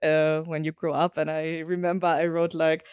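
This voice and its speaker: A young woman from Germany, a podcast guest, telling travel stories in a thoughtful, lively way. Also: deep voice, moderate pace, smooth, no room sound. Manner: thoughtful storytelling, lively when quoting others. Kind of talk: casual conversational interview.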